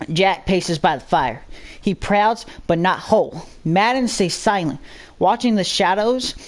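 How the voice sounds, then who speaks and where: A woman reading a scripted story aloud as a dramatic narrator. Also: on the low side.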